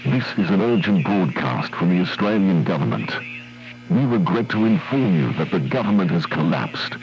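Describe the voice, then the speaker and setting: A man's voice: low-pitched, very animated, moderate pace. A man playing a grave government announcer reading a scripted doomsday emergency broadcast on a lo-fi recording. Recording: dull, lo-fi radio-like broadcast. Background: music.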